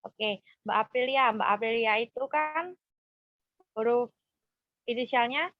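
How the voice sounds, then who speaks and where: A woman's voice: a little high, unhurried. Young woman, group facilitator, hesitant explanations, muffled sound, no room echo. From Purworejo, Central Java, Indonesia. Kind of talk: leading an informal group session.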